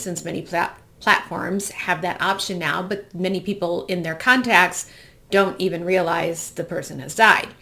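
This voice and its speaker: A woman's voice: lowish voice, gravelly, sharp tone. An American woman in her forties from the Midwest, hosting with a personal, reflective monologue. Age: 40s. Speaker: host.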